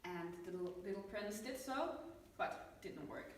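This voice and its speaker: A woman's voice: mid-range voice, expressive, unhurried. A woman improvising a fairytale as a storyteller. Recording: very echoey room from far off, faint noise.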